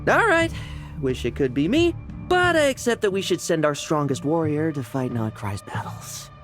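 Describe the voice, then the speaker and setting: A woman voicing an eager young female knight who talks in a brisk, upbeat, determined way in a video game. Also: deep voice, big pitch swings, soft background music.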